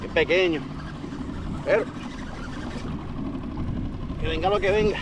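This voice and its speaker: A man with a Puerto Rican accent giving casual, excitable fishing commentary to viewers from a kayak at sea. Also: high voice, slow pace. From Puerto Rico. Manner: excitable, exclaiming, talking to viewers while fishing. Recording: loud wind, water and boat noise. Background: open water and boat noise.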